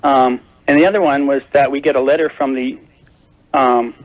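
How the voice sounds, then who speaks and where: A man's voice: mid-range voice, steady tone, normal speed, no room sound. A man calling a radio show, explaining his problem in a careful, wordy, hesitant conversational way. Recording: dull lo-fi call on radio tape.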